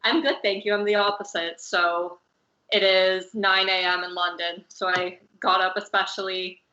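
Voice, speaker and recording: A woman from California hosts a video call as a fashion designer. Her voice is bright and rather low, with a flat, even delivery. She hedges constantly with "sort of" and "um", and the sound is roomy. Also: moderate pace, muffled recording. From California, United States. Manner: hedging, many fillers like "sort of" and "um". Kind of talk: casual live-streamed conversation.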